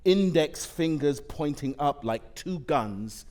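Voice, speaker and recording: A man giving a dramatic poetry recital on stage, measured and weighty, with deliberate pauses. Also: a little high, expressive, darkish tone. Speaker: actor reading poetry on stage. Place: theatre stage.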